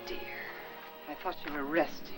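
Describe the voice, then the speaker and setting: Anxious 1950s American housewife, music behind actress. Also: female voice, low-pitched, fairly even intonation, normal speed, close to an everyday voice. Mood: solicitous. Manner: placating, apologetic, polite. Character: anxious, dutiful young housewife nursing an invalid husband. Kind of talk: 1950s Hollywood film drama acting. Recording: vintage film soundtrack with orchestral score.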